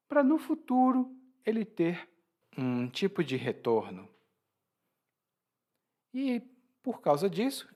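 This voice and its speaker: Lively-voiced male teacher. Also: on the high side. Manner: explanatory, frequent restarts and laughs. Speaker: Portuguese teacher. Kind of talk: language lesson explaining expressions.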